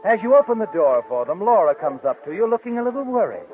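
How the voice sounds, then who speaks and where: An actor playing a sinister, all-knowing narrator in a 1940s radio mystery, speaking dramatically and tauntingly to the protagonist. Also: male voice, high voice, big pitch swings, quick pace. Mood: ominous, taunting. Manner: dramatic, taunting second-person delivery. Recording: muffled old radio, music underneath.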